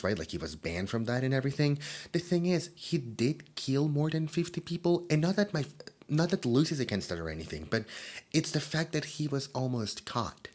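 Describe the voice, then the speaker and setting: A man's voice: deep voice, slightly rough. A man voicing a chatty, awkward immortal demon who summons time, rambling with many ums and asides and sometimes dropping to a whisper, in an ASMR roleplay. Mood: gossipy. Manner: rambling and self-conscious, full of ums and asides; drops into soft whispers at times.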